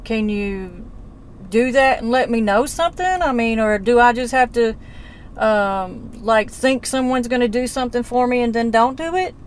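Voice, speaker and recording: A woman's voice: fairly high pitch, moderate pace, a little hiss or hum. A woman, questioning a man on a phone call in a blunt, folksy, persistent way. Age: 40s. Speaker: relative investigating a disappearance. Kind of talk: casual phone conversation.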